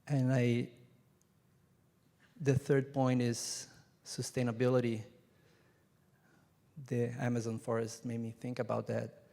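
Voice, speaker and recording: Brazilian-born man, flat gravelly delivery. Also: mid-range voice. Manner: earnest, measured, lists ideas point by point. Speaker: conference witness speaker. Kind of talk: reflective closing remarks on stage. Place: large conference hall stage. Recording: hall reverb from a large room.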